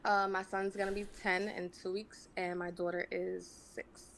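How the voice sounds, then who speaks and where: A woman's voice: medium pitch, level tone, normal speed, vocal fry, fairly bright tone, a little hiss or hum, low-quality recording. A woman in her twenties from New York, calling into a dating show from her bedroom and answering questions hesitantly with frequent "um"s. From New York, USA. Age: 20s. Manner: hesitant, many "um"s.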